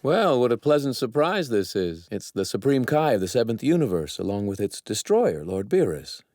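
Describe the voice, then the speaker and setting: A man's voice: mid-range voice, very animated, moderate pace, a little mellow, dry recording, studio quality. A man in an anime dub voicing an arrogant young apprentice god, a righteous villain who speaks formally and precisely with courteous menace. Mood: smoothly polite. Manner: formal, haughty, precisely worded.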